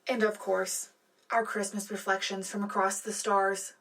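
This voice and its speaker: A woman's voice: medium pitch, flat delivery, a little slow, fairly bright tone. Woman playing a poised space-war radio co-anchor. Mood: poised, rousing. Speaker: radio show co-host. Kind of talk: scripted broadcast news narration.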